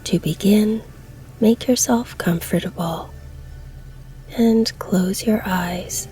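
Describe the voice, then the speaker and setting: A woman leading a scripted guided meditation in a soft, breathy, soothing delivery with long pauses. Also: medium pitch, slight background noise, rough recording. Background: calm background music.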